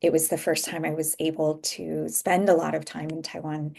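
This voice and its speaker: An American woman in her thirties speaks as a guest author in a reflective, soft-spoken way, pausing often. Her voice is low and carries a noticeable gravelly fry. She is heard over a video call. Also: little pitch movement. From United States. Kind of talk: reflective conversation.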